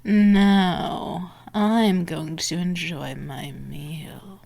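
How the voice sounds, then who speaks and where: Dominant vampire woman, played by an actress, ASMR roleplay. Very drawn-out delivery, heavy vocal fry, taunting and possessive, moving between whisper and command. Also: fairly low pitch, fairly even intonation, full character voice. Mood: sated, possessive. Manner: slow, teasing, slipping between whispers and sharp commands.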